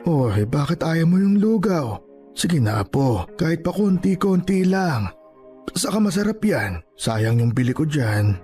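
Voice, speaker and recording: A man's voice: a little high, big pitch swings, measured pace, warm tone, faint music behind. A man playing a poor, exasperated, tearful old grandfather in a Tagalog radio drama, with a Filipino accent and an emotional way of scolding and pleading. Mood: coaxing. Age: older.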